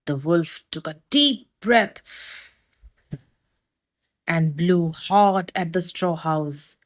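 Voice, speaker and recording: A woman reading a children's fairy tale aloud with expressive storytelling. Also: fairly low pitch, extremely slow. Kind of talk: children's read-aloud narration.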